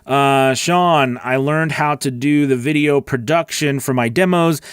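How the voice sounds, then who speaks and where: A middle-aged American man with a mid-pitched voice talks casually as a livestream host. He is chatty and informal, thinking aloud while he reads and answers questions. The sound is close and dry, from a studio microphone in a small home studio. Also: measured pace, clear, resonant tone, no room sound. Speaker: host, voice-over coach. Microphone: large studio microphone on a boom arm, close.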